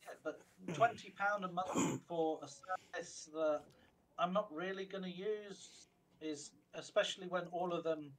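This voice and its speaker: Male co-host, opinionated and rambling, slightly raised pitch, light noise floor. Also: unhurried, poor audio. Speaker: podcast co-host. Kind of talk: casual chat.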